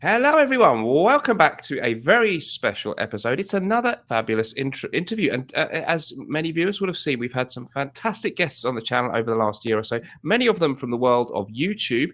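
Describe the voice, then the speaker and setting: A man's voice: medium pitch, very animated, brisk, hardly any pauses, fairly bright tone. A man based in the UK hosting a fragrance interview over a video call, upbeat and chatty with frequent restarts. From United Kingdom. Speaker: host.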